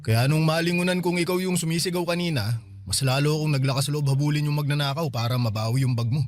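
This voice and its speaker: A man's voice: on the high side, quick pace, lightly acted. An actor playing a friendly, modest and brave male neighbour in radio drama dialogue, with an easygoing, chuckling way of talking.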